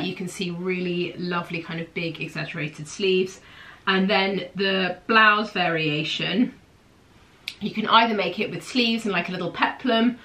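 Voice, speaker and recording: A woman in her thirties whose voice sounds nasal and bunged up, slightly low-pitched but bright and forceful. She talks casually and eagerly to a camera in a small room at home, gesturing as she goes. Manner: enthusiastic, gushing, gestures a lot with her hands. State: nasal and bunged up from hay fever. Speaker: host of a sewing pattern show. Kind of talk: casual chatty review to camera.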